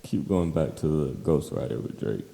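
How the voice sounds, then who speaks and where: A man co-hosting a podcast in relaxed, casual discussion. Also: a bass voice, normal speed, vocal fry, long reverb tail. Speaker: podcast co-host.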